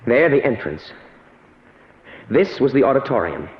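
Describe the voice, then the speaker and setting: Earnest young assistant, echoey old radio. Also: male voice, mid-range voice, sing-song. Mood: grave narration. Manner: dramatic, deliberate, respectful. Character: earnest young research assistant, man, intense and awed. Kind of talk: old radio drama acting. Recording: echoey, dull vintage radio recording.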